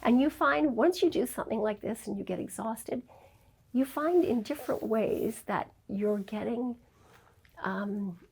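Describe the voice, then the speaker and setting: A woman's voice: a little high, unhurried, darkish tone, husky. An older woman, born in Scotland, talking candidly and reflectively as an interview guest about her career. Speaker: interview guest, documentary filmmaker. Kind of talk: conversational interview.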